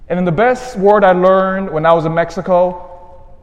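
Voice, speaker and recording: A man, a priest, giving a homily with a storytelling manner, dropping to a hush and rising to animated emphasis. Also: high voice, normal speed, lo-fi sound. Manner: storytelling, swings from hushed to animated. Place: church. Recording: long reverb of a church.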